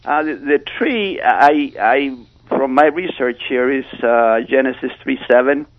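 A male caller with a creaky, gravelly voice at middling pitch offers casual scripture commentary. He rambles and halts often as he goes. The phone sound is dull and dry. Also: no echo. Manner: hesitant, halting delivery with repeated pauses. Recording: dull phone line on radio.

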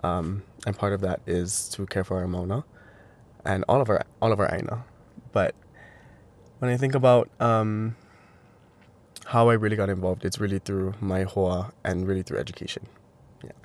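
Brief: young man from Hawaii, lowish voice, halting, filler-heavy interview answers. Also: little pitch movement, darkish tone. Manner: hesitant, many fillers and restarts. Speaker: interviewee, language teacher.

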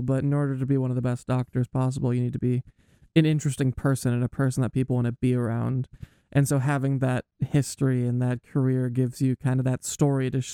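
Podcast panelist: man, early twenties, mellow and smooth, casual delivery. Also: mid-range voice, dry recording, studio quality. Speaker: medical student podcast panelist.

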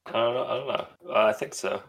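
A man co-hosting a podcast in casual chat, teasing the others with quick questions. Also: medium pitch, steady tone, creaky, a bit bright, breathy edge. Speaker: podcast co-host.

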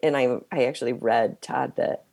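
Hoarse woman, strained voice, halting casual chat, dry close mic. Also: a bass voice, darkish tone, no room sound. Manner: halting, hesitant with many pauses. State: hoarse, losing her voice. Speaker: podcast co-host. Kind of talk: casual conversation.

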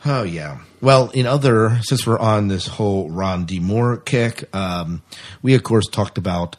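A man's voice: fairly low pitch, measured pace. A man with an American accent co-hosting a podcast in a casual, chatty style. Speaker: podcast co-host. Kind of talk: casual sci-fi news chat.

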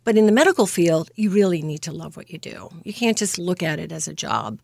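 A woman in her fifties from Greece, a nurse practitioner telling her story as an interview guest, earnest and quick to laugh. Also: fairly low pitch, very animated, vocal fry, darkish tone, dry recording. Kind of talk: casual interview.

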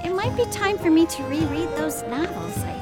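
A woman's voice: extremely high pitch, sing-song, moderate pace, over loud music. A woman playing an earnest, bookish cartoon schoolgirl who speaks in a precise, formal, thoughtful way. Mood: pondering.

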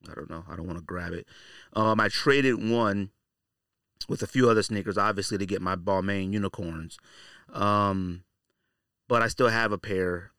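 A man's voice: deep voice, a bit bright, clean tone, no room sound, clean studio sound. A man hosting a casual, off-the-cuff podcast monologue, talking in a slangy, blunt, conversational way. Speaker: sneaker podcast host.

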